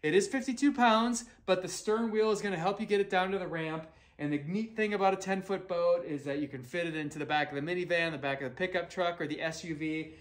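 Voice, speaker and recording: A man in his forties doing a casual, upbeat kayak review in an indoor garage, talking into a camera held at arm's length. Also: sits high. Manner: upbeat, conversational, a few restarts. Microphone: handheld camera at arm's length.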